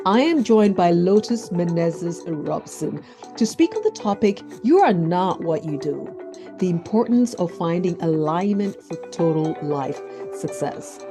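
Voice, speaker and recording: A woman's voice: lowish voice, expressive, unhurried, over music. A woman hosting a podcast, chatting enthusiastically with her guest and often restarting her sentences. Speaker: podcast host. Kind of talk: interview podcast chat.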